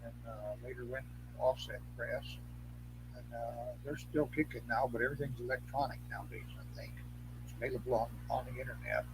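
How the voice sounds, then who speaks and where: An older man chatting casually and reminiscing in a rambling, halting, anecdotal way. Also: mid-range voice, steady tone. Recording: clear background noise and hum.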